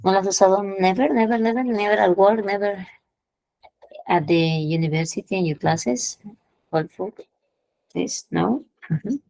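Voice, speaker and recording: A woman's voice: mid-range voice, normal speed, gravelly, pauses often, a little mellow, no echo, rough recording. A woman teaching English idioms in an online class, explaining patiently, repeating phrases and checking in with her students. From Peru.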